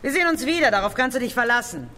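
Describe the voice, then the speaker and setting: An actress playing a coarse, hateful female blackmailer in a German radio drama, loud, taunting and confrontational. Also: a little high, expressive, rapid-fire, in a large hall, a little hiss or hum. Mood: threatening. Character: coarse, menacing female blackmailer full of hatred.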